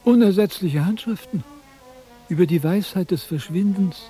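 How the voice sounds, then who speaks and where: An actor playing a vain, fearful wandering philosopher in a radio play, plaintive and theatrical. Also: male voice, high-pitched, moderate pace, dark tone, husky, faint music behind. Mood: pleading, aggrieved.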